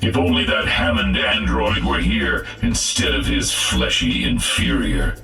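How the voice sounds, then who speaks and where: Very deep, menacing robot overlord. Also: male voice, lively intonation, measured pace, creaky, echoey room, recorded from a distance, over music, heavily acted. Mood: scornful. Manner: cold, formal, condescending machine diction. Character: menacing, contemptuous genocidal robot with a cold superior mind. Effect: metallic robotic voice processing. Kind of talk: video game character voice lines.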